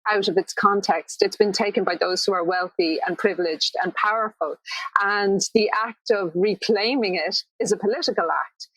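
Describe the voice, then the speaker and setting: A woman's voice: mid-range voice, a bit bright, no room sound, poor audio. Dry-sounding woman interviewer. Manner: enthusiastic, laughs often. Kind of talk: conversational interview.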